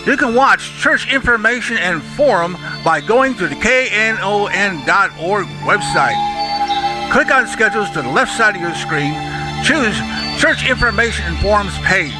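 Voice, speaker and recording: A man reads a scripted station announcement in a high, lively, lilting voice. Loud music plays under him. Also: moderate pace, poor audio. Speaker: station videographer.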